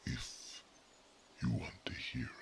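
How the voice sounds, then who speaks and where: A man voicing a wise, devoted male mage who reads minds, speaking in a soft, formal whisper for an ASMR roleplay. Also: takes it slowly, natural voice. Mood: tender.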